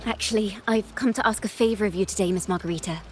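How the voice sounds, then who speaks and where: A woman's voice: medium pitch, fast, natural voice. Thoughtful young bard voiced by a woman, music behind. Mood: polite, determined. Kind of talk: measured game dialogue acting.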